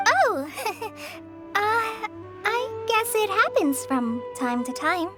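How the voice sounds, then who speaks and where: A woman's voice: very high-pitched, expressive, extremely slow, loud music behind the voice, exaggerated voice. A woman playing an earnest, shy girl training as a forest ranger in a video game, with a light, girlish, hesitant, polite delivery. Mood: gently reassuring.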